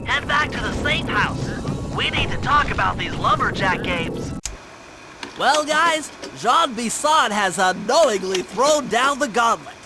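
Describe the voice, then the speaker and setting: A man's voice: extremely high pitch, lively intonation, unhurried, full character voice. A man voicing a brainy, fussy young turtle gadget expert with precise, bookish speech in a cartoon game. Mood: earnest, commanding. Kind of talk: cartoon video game briefing. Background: music.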